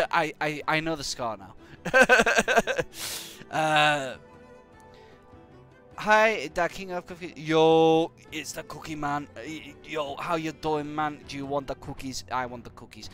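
Croaky British man streaming games. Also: a little high, takes it slowly, pushed and bright, faint music behind. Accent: British English. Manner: rambling and jokey, hums nonsense syllables. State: hay fever. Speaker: game streamer. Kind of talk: live gameplay commentary chatting to viewers.

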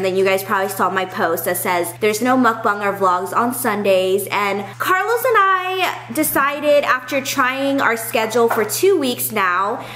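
A young American woman with a fairly high voice talks as a vlogger at home. She is chatty and informal, rambling casually as she addresses the camera. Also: sharp tone. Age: young adult. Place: at a table in an open-plan house.

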